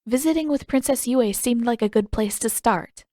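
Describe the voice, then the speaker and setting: A woman's voice: on the high side, normal speed, dark tone, no echo, studio quality, pitched above the performer's own voice, natural voice. A woman voicing an earnest, determined teenage girl who speaks politely and persuasively in an audiobook.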